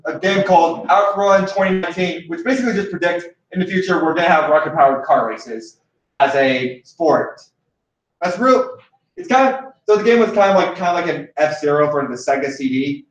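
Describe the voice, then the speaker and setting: A young man from Hawaii hosting a casual chat, rambling with many restarts and fillers. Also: sits high. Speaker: host. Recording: echoey room, distant mic, dull sound.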